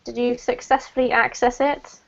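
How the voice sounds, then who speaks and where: A woman English teacher leads an online class in a chatty, encouraging way, her voice sitting a little high. The sound is dull and lo-fi, like a video call. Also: little pitch movement, moderate pace, gravelly. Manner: chatty and encouraging, with frequent "um" and "okay?".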